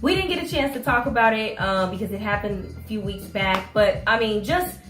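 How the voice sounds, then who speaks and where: A woman co-hosting a casual chat show, stuffy from a cold, laughing often and talking in an animated way. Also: mid-range voice, a little fast, echoey room, soft background music. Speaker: co-host.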